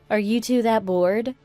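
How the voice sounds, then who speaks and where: A woman playing a stubborn, sardonic working daughter-in-law in a radio drama, speaking in a measured, dry and pointed way. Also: a little high, darkish tone, dry recording. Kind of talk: scripted radio drama dialogue.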